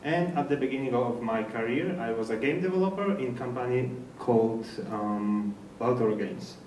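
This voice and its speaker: A man from Poland with a Polish accent gives an informal technical presentation in slightly halting non-native English. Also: mid-range voice, lively intonation, a little mellow. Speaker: speaker at a developer meetup. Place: indoor meetup presentation room. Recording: echoey room, distant mic, faint noise.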